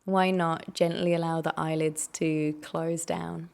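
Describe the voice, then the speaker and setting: A woman with a lowish voice and a distinct creak guides a meditation. She speaks in gentle, unhurried phrases, offering instructions as soft invitations and questions. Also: level tone.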